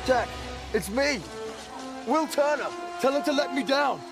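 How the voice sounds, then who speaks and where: Very high, urgent young adventurer played by a man. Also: unhurried, creaky, exaggerated voice. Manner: loud, urgent calling out. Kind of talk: audio drama acting. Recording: music under the voice.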